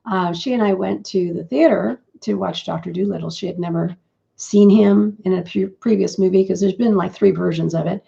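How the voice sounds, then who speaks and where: Croaky, gravelly woman with a low, mellow voice. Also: normal speed. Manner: chatty and rambling, with fillers and restarts. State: croaky morning voice. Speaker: host of a live business broadcast. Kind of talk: casual live-stream talk. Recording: dull lo-fi stream audio.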